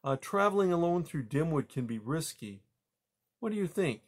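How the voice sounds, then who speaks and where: A man plays an anxious, gentle mother mouse from a children's storybook. The voice sits high and swings up and down. The manner is polite, earnest and a little hurt. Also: sing-song, normal speed, slightly rough. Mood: hopeful, coaxing.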